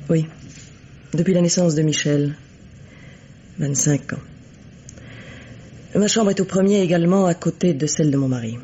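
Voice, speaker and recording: An actress playing a composed, coolly bitter middle-aged widow in a French radio mystery play, speaking formally and deliberately. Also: female voice, sits low, warm tone, slight background noise, close to an everyday voice. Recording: dull, lo-fi vintage radio recording.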